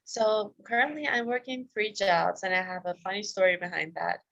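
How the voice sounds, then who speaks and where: Woman guest from Iran, medium pitch, candid and chatty, laughs softly. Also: fairly even intonation, normal speed, gravelly, lo-fi sound. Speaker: guest, self-discipline coach. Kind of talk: casual interview answers.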